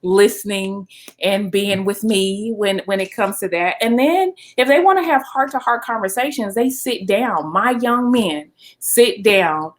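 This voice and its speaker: A woman's voice: mid-range voice, unhurried. A middle-aged woman talking earnestly with her husband about family and communication, with frequent fillers. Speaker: wife co-host. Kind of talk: casual conversation.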